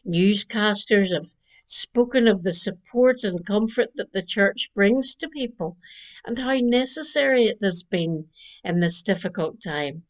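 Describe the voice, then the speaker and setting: An older woman minister from Northern Ireland giving a gentle Christmas Eve reflection from her living room, speaking into a webcam. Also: medium pitch. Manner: slow, gentle preaching cadence. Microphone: webcam at desk distance.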